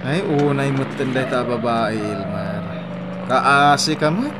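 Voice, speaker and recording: A man playing a teasing, nosy friend and confidant in a radio drama, animated and exclamatory. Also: medium pitch, slow, background noise, close to an everyday voice. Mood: teasing, questioning. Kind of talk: Ilocano radio drama acting.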